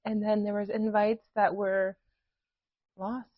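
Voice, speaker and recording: A woman's voice: mid-range voice, level tone, slow, dry recording, highs cut off. A woman in her twenties from the United States, co-hosting a casual podcast chat in a giggly, laid-back way. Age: 20s. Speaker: podcast co-host.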